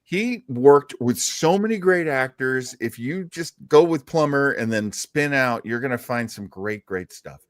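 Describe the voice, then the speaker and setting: A man with an average-pitched voice hosts a live online show. He talks casually and conversationally, and he is effusive when he thanks and praises people. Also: expressive, unhurried, hardly any pauses. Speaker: host of a live web show about old-time radio and film.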